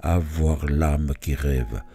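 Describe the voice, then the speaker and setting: A man reciting literary poetry in a measured way, phrase by phrase with pauses between them. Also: extremely low pitch, steady tone, mellow. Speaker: poetry reader.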